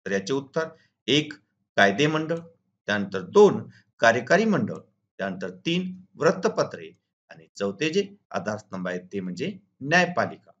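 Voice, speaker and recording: A man's voice: medium pitch, very animated, normal speed, fairly bright tone. A man from Maharashtra speaking as a teacher, formally and methodically walking students through exam questions and answers. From Maharashtra, India. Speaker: school teacher and video channel host. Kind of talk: instructional exam walkthrough, reading questions and answers. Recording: dull lo-fi recording.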